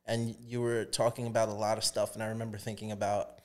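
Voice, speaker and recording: A man co-hosting a podcast, talking in a relaxed, reflective way and laughing easily. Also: fairly low pitch, fast talker. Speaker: podcast co-host. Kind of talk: casual podcast chat.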